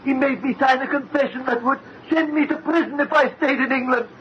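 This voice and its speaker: A muffled, faintly hissy old radio recording has a guilty murderer. His man's voice is pitched extremely high, agitated and apt to stammer in melodramatic horror style. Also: steady tone, a little fast. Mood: desperate confession. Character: guilty murderer, indignant, then terrified and breaking down. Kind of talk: 1930s radio horror acting.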